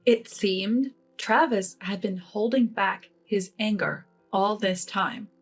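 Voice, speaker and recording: A woman's voice: mid-range voice, takes it slowly, slightly rough, faint music behind. A woman narrating a scripted dramatic story.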